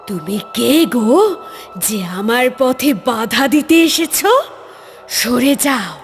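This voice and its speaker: A woman voicing an evil, deceitful, power-hungry demoness in a horror cartoon, with drawn-out theatrical delivery. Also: fairly high pitch, expressive, soft background music. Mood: gloating, menacing.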